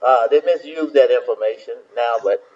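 A man's voice: mid-range voice, normal speed, darkish tone. Rambling man, dull lo-fi sound. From South Carolina. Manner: rambling, repeats words, many fillers. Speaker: minister and host. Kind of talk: casual talk to listeners.

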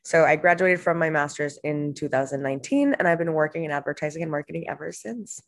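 A woman's voice: low voice, fast talker. A young woman from Honduras, a podcast guest talking casually with frequent fillers and restarts. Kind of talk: casual interview answers.

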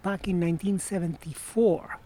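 A man in his sixties with an Argentine Spanish accent, a guest telling stories in a casual interview outdoors by a marina, animated and often trailing off to restart his phrases. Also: sits high, moderate pace, slight background noise. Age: 60s.

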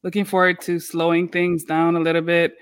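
A man hosting a podcast in casual chat, upbeat and animated, with lots of fillers. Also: a little high, a little fast, smooth. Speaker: podcast host.

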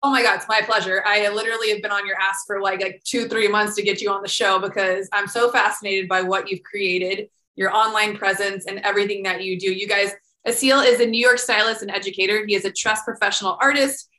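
A woman's voice: medium pitch, steady tone, fairly quick, pushed and bright, roomy sound, poor audio. A woman hosting a podcast, chatting casually and gushing with enthusiasm, full of "like" and "literally". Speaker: podcast host. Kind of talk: casual interview chat.

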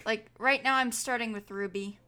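A young woman co-hosting a podcast, chatting casually and excitedly, saying "like" a lot. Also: on the high side, normal speed, clean tone. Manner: excitable, frequent "like". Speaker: podcast co-host.

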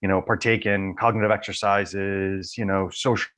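A man's voice: low voice, little pitch movement, normal speed, fairly bright tone. A man who is an academic researcher, talking conversationally about his work as an interview guest. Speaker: academic researcher, associate professor.

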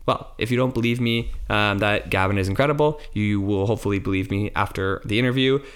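A man's voice: on the low side, brisk, clear, resonant tone. A man hosting a podcast, eager and a bit rambling as he introduces and questions his guest. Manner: eager, rambling, laughs easily. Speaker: podcast host. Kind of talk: enthusiastic interview chat.

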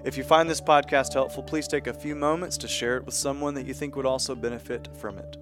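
A man's voice: mid-range voice, steady tone, quick pace, over music. A man hosting a church podcast in an easygoing, folksy way with some light teasing. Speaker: podcast host.

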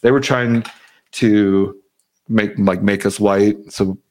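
Rambling, profane man with a low voice. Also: fairly even intonation, slow pace. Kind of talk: casual chat.

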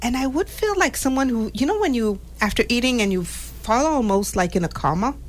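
A woman born in Haiti and raised in Montreal, telling her story as a guest in a casual interview, animated and often restarting her sentences. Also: fairly high pitch, brisk. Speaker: podcast guest.

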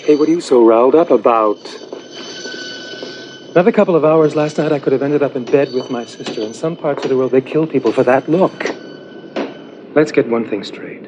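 An actor playing a smooth, scheming, brazen young heir in a 1980s television soap drama. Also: male voice, medium pitch, brisk, long pauses, background noise, lightly acted. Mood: brazen, confrontational. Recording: muffled TV soap clip under music.

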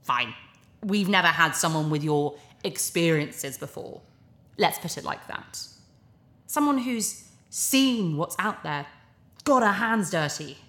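Cynical, worldly TV presenter, sci-fi audio drama. Breezy persuader with swooping intonation, echoey room. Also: female voice, fairly low pitch, lively intonation, slow, fairly bright tone. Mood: breezy, confident. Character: glib, cynical, charming female TV propaganda presenter. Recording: roomy, echoing sound.

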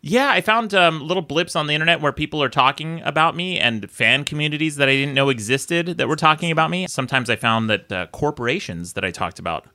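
A man's voice: fairly high pitch, animated, quick pace, few pauses, pushed and bright. A middle-aged man in casual podcast chat, explaining things in detail and laughing often. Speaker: podcast producer and guest.

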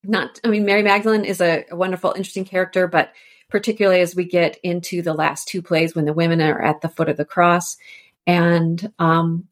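A woman with a slightly low voice talks as an interview guest. She explains a literary work conversationally, thinking things through and showing real enthusiasm for the subject. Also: steady tone, a little fast. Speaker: interview guest, author and editor. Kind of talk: conversational literary discussion on a podcast.

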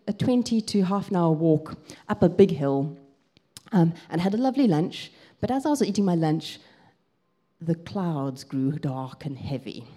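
A young woman with a South African accent, preaching and telling a personal story in a lively way, with frequent ums. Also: lowish voice. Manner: lively storytelling, gets excited, lots of ums.

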